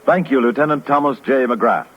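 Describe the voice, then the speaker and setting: A man's voice: medium pitch, normal speed, noisy background. An American man announcing formally and emphatically from a script in the style of 1950s network radio. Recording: muffled vintage radio broadcast recording.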